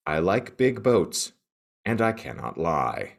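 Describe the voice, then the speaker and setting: A man's voice: low-pitched, very animated, slow, vocal fry, full character voice. A man playing a boastful, smitten rapper in a hammy spoken-word parody. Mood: hammy swagger.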